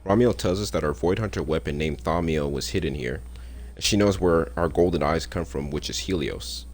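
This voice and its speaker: A man's voice: extremely low pitch, moderate pace. A young man casually recapping a game's story, with slangy, joking asides. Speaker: gaming content creator. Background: faint game music.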